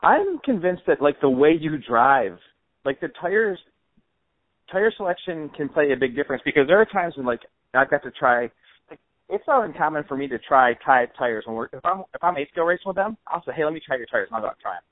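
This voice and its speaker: A man's voice: fairly high pitch, expressive, a little fast, no room sound. A man hosting an interview by phone in a casual, slangy, conversational way. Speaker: interview host. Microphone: telephone. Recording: tinny, band-limited phone line.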